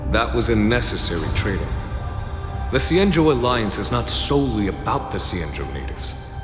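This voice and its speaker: An actor playing a grave, calm general in a video game, speaking in a formal, measured way. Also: male voice, fairly low pitch. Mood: solemn, measured. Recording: echoey hall reverb, music behind. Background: game music.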